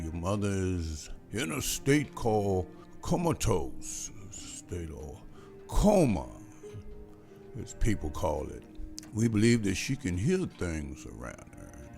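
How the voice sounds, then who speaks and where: A man plays a calm family doctor with a very deep, gravelly voice. He talks slowly and gently, in a measured way. Faint music plays behind him. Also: heavily acted. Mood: solemn. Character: calm male family doctor, middle-aged. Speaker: doctor character. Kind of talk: amateur table read acting.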